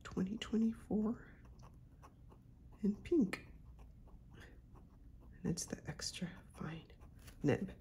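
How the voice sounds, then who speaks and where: A woman hosting her own channel, congested from a cold, chatting casually and unhurriedly with soft asides while she unboxes a pen at her desk at home. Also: mid-range voice, takes it slowly, pauses often, whispered. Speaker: YouTube channel host.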